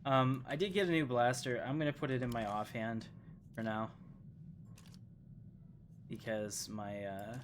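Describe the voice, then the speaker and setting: A man's voice: mid-range voice, fairly even intonation, unhurried, long pauses, background noise. A man giving casual live gameplay commentary as a streamer, chatting and rambling to his viewers as he plays. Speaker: game streamer, host.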